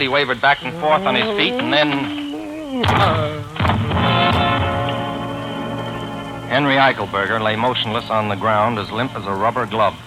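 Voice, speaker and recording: An actor playing a wry, pompous gentleman sleuth in a 1940s radio drama, with formal, genteel diction. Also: mid-range voice, natural voice, low-quality recording. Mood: measured, wry. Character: wry, pompous gentleman sleuth narrating his own caper. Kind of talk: 1940s radio drama acting, first-person narration. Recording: 1940s radio recording with music behind.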